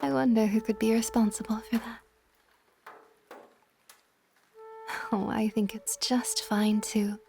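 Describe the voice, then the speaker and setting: A woman playing a possessive, tender dragon goddess in an intimate ASMR roleplay, speaking softly and breathily, often near a whisper. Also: a little high, fairly even intonation, soft background music. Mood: possessive, contented. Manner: soft, breathy, slow, often dropping to whispers.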